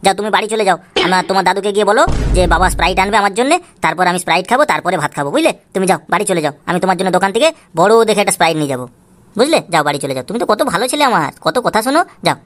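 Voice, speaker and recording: Woman voicing a rapid-fire, blustering cartoon dad. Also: on the low side, animated, close to an everyday voice. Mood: coaxing. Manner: coaxing, blustering, repetitive. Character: exasperated, scheming father caught drinking with friends. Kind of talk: comic cartoon dialogue. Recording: clear background noise.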